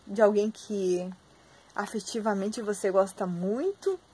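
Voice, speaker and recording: A young adult woman with a Brazilian Portuguese accent teaches an online class from a textbook. She sounds hoarse and husky, keeps clearing her throat, fills her talk with hesitations and speaks straight to her students. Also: mid-range voice, a little slow, a little mellow, a little hiss or hum. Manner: filler-heavy, addresses students directly. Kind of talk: online lecture reading from a textbook.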